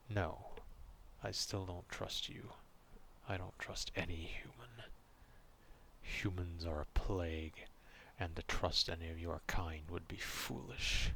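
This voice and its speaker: A very deep, gravelly male beast, acted by a man, slow and grumbling. He is a distrustful, menacing guardian of the forest in an ASMR roleplay, speaking down to humans with haughty disdain over faint background noise. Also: a bit bright, full character voice. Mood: threatening, distrustful.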